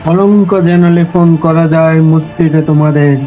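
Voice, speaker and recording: A man recites poetry solemnly at a very slow pace, in a fairly high voice. His delivery is measured and declamatory, with deliberate pauses and faint music behind him. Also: steady tone, dark tone, rough recording. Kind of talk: solemn dramatic recitation of a protest poem. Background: background music.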